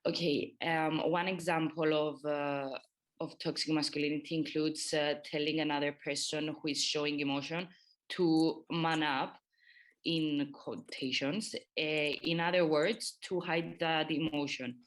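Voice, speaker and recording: A woman's voice: sits low, little pitch movement, measured pace. A woman from Cyprus, giving a prepared explanation on a podcast. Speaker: podcast participant.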